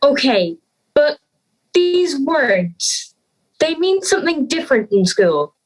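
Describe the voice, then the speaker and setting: A woman's voice: high-pitched, sing-song, slow pace, heavily acted, poor audio. A young woman playing an earnest, anxious schoolgirl in an audio drama.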